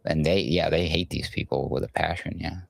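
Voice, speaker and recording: A man's voice: rumbling low, fairly even intonation, a little fast, creaky, no room sound. A man co-hosting a political commentary chat, joking with sarcasm. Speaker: co-host.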